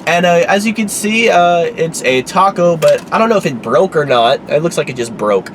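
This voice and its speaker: Stuffy-nosed dude rambling through a food review, kinda hoarse, lots of uhs, cars going by in the background. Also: male voice, a little high, lively intonation, normal speed, slight background noise. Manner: rambling, easygoing, many fillers. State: congested, a little hoarse. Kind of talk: casual food review vlog.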